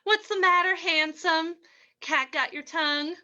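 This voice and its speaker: A woman's voice: very high-pitched, steady tone, a little slow, bright, forceful tone, highs cut off, heavily acted. Woman acting a sultry, scheming radio-play femme fatale. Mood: mocking, gloating. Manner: flirtatious, mocking. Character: sultry, scheming, ruthless female poisoner in a crime radio play. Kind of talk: old-time radio drama acting.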